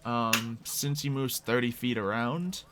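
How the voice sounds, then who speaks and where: A man's voice: medium pitch, a little slow, heavy background noise. A man acting as game master, narrating a tabletop roleplay game in a casual, improvised conversational way.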